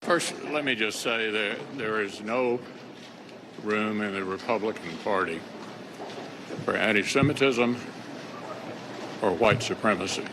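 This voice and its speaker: A man, a politician, making a deliberate prepared statement to the press. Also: fairly low pitch. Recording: clear ambient noise in news clip.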